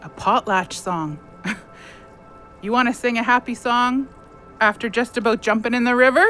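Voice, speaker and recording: Actress as bright-voiced, remorseful, caring young woman. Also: on the high side, moderate pace, faint music behind, natural voice. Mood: wry, relieved. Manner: earnest, plain-spoken, wry. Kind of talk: audio drama acting.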